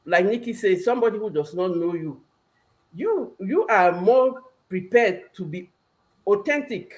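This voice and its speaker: Cameroonian-accented woman, medium pitch. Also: animated, measured pace, a little mellow. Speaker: talk show guest. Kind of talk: conversational explanation.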